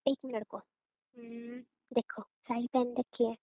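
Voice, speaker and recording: A woman acts as a playful young wife in a homely audio drama. Her voice is high and she talks informally, teasing with affection and a stubborn streak. It comes through a thin phone-like line with a roomy echo. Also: flat delivery, slow pace. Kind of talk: casual domestic audio roleplay.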